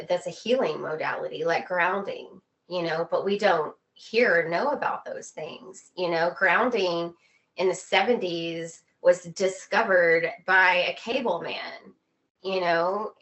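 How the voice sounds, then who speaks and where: Woman, lowish pitch, interview guest, casual explanatory talk, earnest, halting, repetitive for emphasis. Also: a bit bright, low-quality recording.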